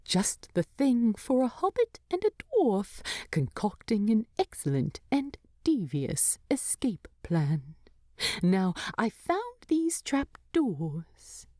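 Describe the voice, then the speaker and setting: A woman voicing a cheeky, devoted male hobbit in a fantasy story, speaking with earnest, expressive feeling. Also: mid-range voice, takes it slowly, no echo, studio quality. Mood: conspiratorial.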